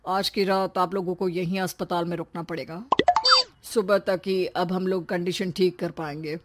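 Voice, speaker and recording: A woman voicing a matter-of-fact doctor who explains things in a low-key way in a story. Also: steady tone, moderate pace, very noisy, close to an everyday voice. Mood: serious.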